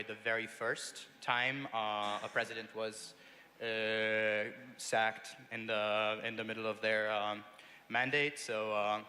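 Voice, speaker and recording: Young man from Hungary, bright tense voice. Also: fairly low pitch, a little hiss or hum. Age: young adult. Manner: earnest, with many filler "uh"s. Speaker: student representative on a panel. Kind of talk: panel talk. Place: large conference hall. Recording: reverberant conference hall.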